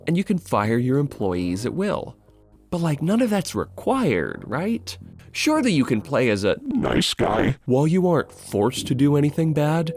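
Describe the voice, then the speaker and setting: A man's voice: medium pitch, darkish tone. A man narrating a scripted video essay as its host, in a wry, sardonic, casually sweary way.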